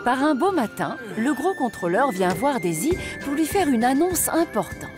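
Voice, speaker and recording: A woman's voice: sits high, big pitch swings, normal speed. A woman playing the narrator of a children's train cartoon, telling the story expressively from a script. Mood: storytelling. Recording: music behind the voice.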